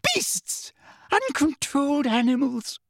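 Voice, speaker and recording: A man voicing a pompous, frightened and indignant woman official in a storybook, high-pitched and officious. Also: very animated, normal speed, gravelly, no room sound, clean studio sound, exaggerated voice. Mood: indignant, shrieking. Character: pompous, terrified, indignant female government official. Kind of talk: audiobook character voice.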